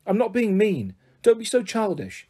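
A woman's voice: fairly low pitch, lively intonation, a little fast, mellow, slightly rough, voice pushed up. A woman voicing an anxious, snappish but loving mother in a storybook. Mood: angry.

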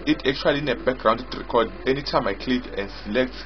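A man giving an unscripted software walkthrough as its developer, explaining haltingly and repetitively in short phrases. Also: medium pitch, slight background noise. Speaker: software developer demonstrating own app. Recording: dull lo-fi audio with loud music. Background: loud music.

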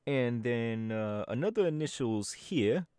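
Man playing a laid-back young DJ boss, easygoing drawl, lively pitch, dry close mic. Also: measured pace, clean tone, no echo, close to an everyday voice. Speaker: voice actor. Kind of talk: ASMR audio roleplay.

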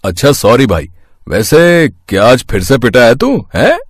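A man's voice: medium pitch, wildly swooping pitch, unhurried, breathy edge, no echo, clean studio sound, heavily acted. A man voicing a teasing, mischievous village friend in a cartoon, brash and mocking.

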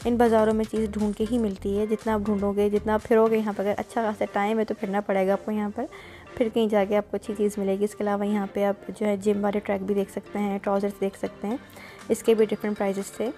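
Fast-talking woman, soft dark voice. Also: mid-range voice, level tone, faint music behind. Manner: chatty, explains prices step by step. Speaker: vlogger touring a market. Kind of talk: casual voice-over narration.

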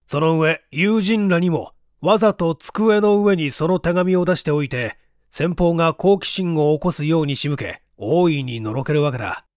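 A man's voice: fairly high pitch, moderate pace, a little mellow, no room sound, clean studio sound, lightly acted. A man voicing an eager, self-satisfied male writer who explains his odd story idea at rambling length.

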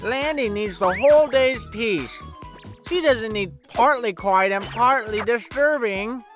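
A woman's voice: fairly high pitch, a little slow, faint music behind, natural voice. A woman voicing a fussy, grumbling rabbit in a children's cartoon, speaking emphatically. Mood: indignant. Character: fussy rabbit seeking absolute quiet for a friend.

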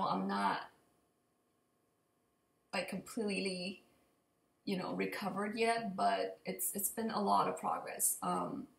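Slow, creaky-voiced young woman vlogger. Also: mid-range voice. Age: young adult. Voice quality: slightly raspy. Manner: hesitant, halting, rambling. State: raspy from allergies. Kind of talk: unscripted casual update to camera. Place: indoor open kitchen and living room. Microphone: computer mic at desk distance. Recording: echoey room, computer mic.

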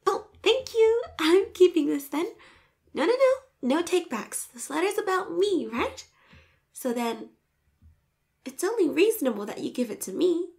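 A woman's voice: very high-pitched, big pitch swings, moderate pace, vocal fry, darkish tone, full character voice. A woman voicing a shy, flustered popular schoolgirl with a crush in a romantic roleplay, sweet but insistent, with bashful stammers and whispered asides.

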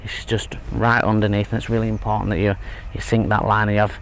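A man in his thirties giving a relaxed, hesitant fishing tutorial outdoors by a lake, with lots of fillers and restarts. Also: on the low side, fast. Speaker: angling instructor. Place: outdoors at a lakeside fishing spot. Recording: faint outdoor background noise. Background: faint outdoor ambience.

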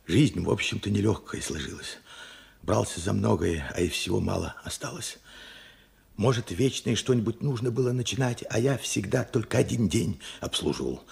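An actor in a radio play playing a weary, regretful old war veteran, hoarse and urgent in a halting delivery. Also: male voice, on the low side, animated, exaggerated voice. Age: older. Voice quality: hoarse, husky, cracked. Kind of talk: Soviet radio play acting.

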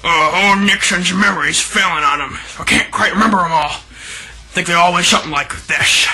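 A man's voice: sits high, moderate pace, pushed and bright, full character voice. A young man playing a boastful, needy, scheming ex-president and storyteller in an amateur comedy skit, theatrical and self-important. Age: young adult. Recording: noisy home camcorder audio.

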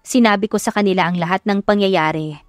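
A woman's voice: medium pitch, fast, dry recording, studio quality. A woman with a Filipino accent giving a dramatic first-person narration of a story. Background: background music.

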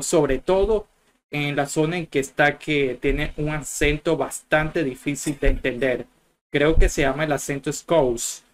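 A man's voice: fairly high pitch, a little hiss or hum. A young man from Colombia hosting a football channel, commenting casually on clips from a small room at his desk. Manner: chatty, rambling with restarts.

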